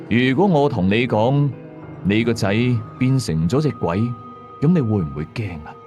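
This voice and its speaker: A man's voice: mid-range voice, darkish tone, lightly acted. A man playing a veteran exorcist who narrates his ghost cases in Hong Kong Cantonese, explaining and moralizing to listeners in a radio horror story. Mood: ominous. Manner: explanatory, conversational asides to listeners. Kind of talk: scripted first-person horror storytelling. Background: music.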